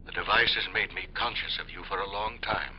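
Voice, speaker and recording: A muffled, noisy 1950s radio recording carries an actor playing an earnest man from the distant future. His low voice moves expressively as he speaks in a formal, deliberate, reassuring way, in period radio drama style. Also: close to an everyday voice.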